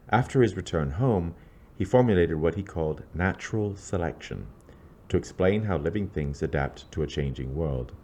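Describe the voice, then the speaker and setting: A man reading scripted narration aloud in a measured, scholarly, documentary-like way. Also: very deep voice, a little mellow.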